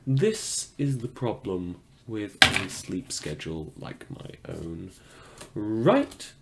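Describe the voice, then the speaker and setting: Man acting as small-time radio newsman, highly varied pitch, rambling, self-deprecating, dryly sardonic, post-apocalyptic fiction podcast. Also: huge pitch swings, slow pace, vocal fry, very noisy, exaggerated voice. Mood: flustered. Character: awkward, wry small-time radio news host in post-apocalyptic city. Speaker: radio news host. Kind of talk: fiction podcast, mock radio news broadcast.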